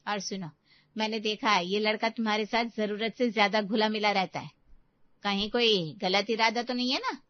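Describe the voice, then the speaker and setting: A woman's voice: mid-range voice, fairly even intonation, moderate pace, no room sound, highs cut off, lightly acted. A woman playing a snobbish, suspicious middle-aged mother with a pointed, gossipy manner in a story. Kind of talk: acted story dialogue.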